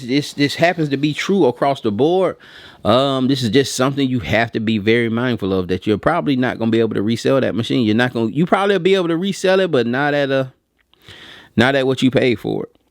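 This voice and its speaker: A man's voice: fairly low pitch, no room sound, clean studio sound. An American man giving casual, unscripted opinions as a video host, blunt and colloquial, repeating phrases for emphasis. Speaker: video host and print equipment reviewer.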